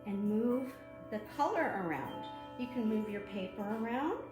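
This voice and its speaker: Slow, very lively woman hosting children's storytime. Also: fairly high pitch, music in the background. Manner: animated, asks child viewers questions. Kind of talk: children's storytime read-aloud and craft demonstration. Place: at home, indoors. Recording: roomy echo, faint background noise.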